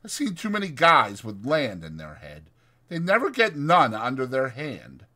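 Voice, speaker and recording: A man voicing a bitter, proud, cynical stable hand with blunt, rough rural speech for a dramatized audiobook. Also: mid-range voice, wildly swooping pitch, moderate pace, bright, forceful tone, voice pushed up, exaggerated voice. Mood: brutal, scornful.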